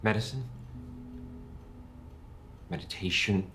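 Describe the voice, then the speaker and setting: Slow, halting, tormented man played by an actor. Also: lowish voice, fairly bright tone. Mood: agitated, despairing. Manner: halting, stammering, distressed. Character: tormented male patient, desperate, haunted by voices. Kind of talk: audio horror drama acting. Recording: music behind the voice.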